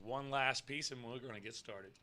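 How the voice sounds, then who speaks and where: A man hosting a casual livestream, hoarse from yelling and talking in a rambling, swearing, chatty way with loud bursts of excitement. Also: mid-range voice, normal speed. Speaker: livestream show host. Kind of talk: casual livestream chat with viewers.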